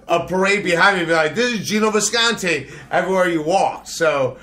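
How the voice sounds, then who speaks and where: A man in casual banter telling an animated, laughing story. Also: sits high, moderate pace. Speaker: guest.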